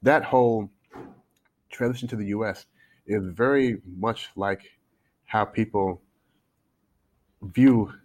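A man's voice: lowish voice, slow pace, long pauses, darkish tone. A man in his forties with a North American accent, telling his story conversationally as a podcast guest. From Canada, then United States from age eight. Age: 40s. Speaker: life coach, podcast guest.

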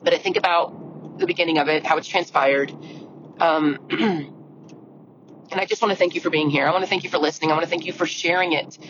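A middle-aged woman with a deep, scratchy voice hosting a solo podcast, chatty and earnest, often restarting her sentences. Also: steady tone, brisk. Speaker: podcast host. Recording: lo-fi audio with clear background noise.

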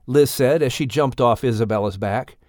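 A man's voice: mid-range voice, moderate pace, darkish tone, dry recording, studio quality. A man reading a children's story aloud as a scripted audiobook narrator.

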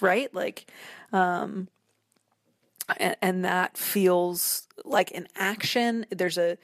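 A woman giving thoughtful, discursive commentary as an interview guest, often halting as she works out her ideas. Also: fairly low pitch, no echo. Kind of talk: conversational literary and cultural commentary.

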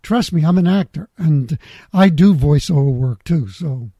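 A middle-aged man hosting a podcast, reading a measured intro and chatting easily, laughing often. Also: a little high, expressive, mellow, slightly rough, no room sound, clean studio sound. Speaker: podcast host. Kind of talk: scripted intro then casual interview.

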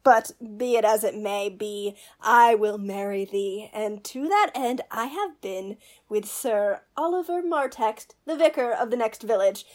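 A woman's voice: a little high, expressive, a little slow, few pauses. A woman playing a wordy, pompous male court fool in a Shakespearean radio play, with a theatrical, mock-learned manner. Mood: grandiose.

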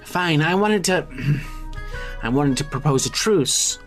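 A man playing a scrappy, foul-mouthed, evasive character on the run in audio fiction, talking casually and haltingly. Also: on the high side, expressive, a bit bright. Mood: tense, defiant. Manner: casual, sweary, halting when pressed. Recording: music under the voice. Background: music.

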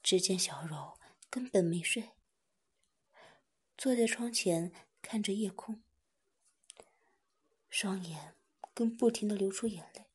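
A woman reading a first-person story aloud in a soft whisper. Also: mid-range voice, slow, pauses often, slightly rough.

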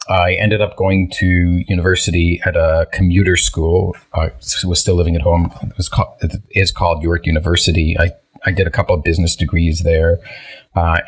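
Very deep, husky-voiced man in his fifties. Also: rough recording. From Israel. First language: Hebrew. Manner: hesitant, many restarts and fillers. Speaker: podcast guest. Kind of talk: casual interview storytelling.

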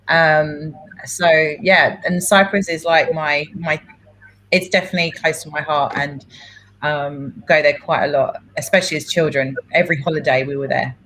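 A woman's voice: deep voice, steady tone, moderate pace, fairly bright tone, low-quality recording. Chatty woman with Greek roots. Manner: chatty, laughs often, many fillers. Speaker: guest. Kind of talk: casual interview chat.

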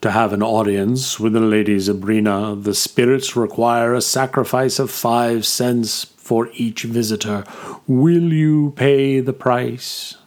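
A man voicing a giant tent guard who intones slowly in a deep voice. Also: steady tone, a little mellow, natural voice. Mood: solemn. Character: giant turbaned male tent guard, imposing.

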